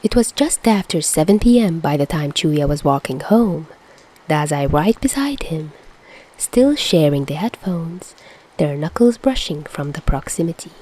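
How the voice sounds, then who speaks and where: A woman's voice: low voice, lively intonation, a little slow, warm tone, rough recording. A woman reading a scripted story as a narrator, with music behind her. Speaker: fan fiction podfic reader.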